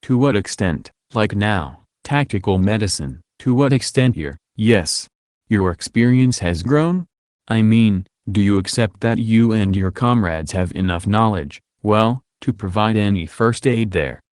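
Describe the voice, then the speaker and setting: A man working as an interviewer, asking questions haltingly and rephrasing them as he goes. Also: fairly low pitch, lively intonation, a little mellow, dry recording, studio quality.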